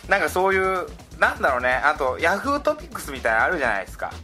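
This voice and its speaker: A male podcast host a bright, fairly high voice and highly animated intonation. He chats casually and breaks into laughter easily as he questions his co-host, faint music behind him. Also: racing. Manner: laughs readily, playfully questioning his partner. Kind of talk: casual two-man podcast chat.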